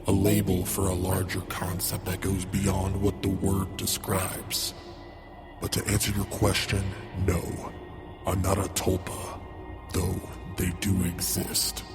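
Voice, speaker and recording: Man voicing a deep, eerie otherworldly spirit. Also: monotone, slow. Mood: calm, ominous. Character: eerie otherworldly spirit speaking through children, calm and knowing. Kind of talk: scripted horror story dialogue. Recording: loud music behind the voice.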